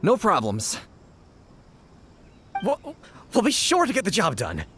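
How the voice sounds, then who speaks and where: An actor voicing a boisterous but easily cowed young gang underling in a video game, excitable and eager. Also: mid-range voice, takes it slowly, exaggerated voice. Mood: nervous, sheepish. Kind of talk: English video game voice acting. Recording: clean studio voice over game music.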